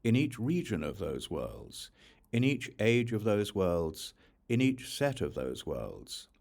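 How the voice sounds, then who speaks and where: Low gravelly guy narrating a sutra audiobook, solemn n measured but pitch moves around a lot. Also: sing-song, darkish tone. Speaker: audiobook reader of scripture. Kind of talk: scripted reading of a Buddhist sutra.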